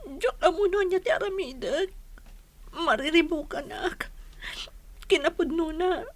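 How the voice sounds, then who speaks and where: High-voiced distressed young woman. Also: measured pace. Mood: tearful, ashamed. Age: young adult. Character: distressed pregnant young woman, pleading and ashamed. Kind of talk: Ilocano radio drama acting.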